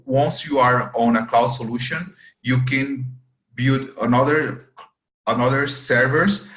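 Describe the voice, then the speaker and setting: A man's voice: medium pitch, a bit bright, echoey room, poor audio. A man whose first language is Portuguese, a student data engineer answering interview questions carefully, repeating words as he searches for them. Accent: Portuguese-speaking.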